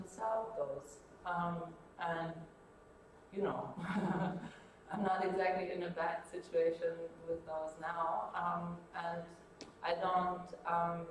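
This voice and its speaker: A woman whose first language is Greek gives a colloquium talk in a university lecture hall, halting with many um fillers. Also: on the low side. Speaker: guest lecturer. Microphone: distant room camera. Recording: echoey hall, far from mic, noisy.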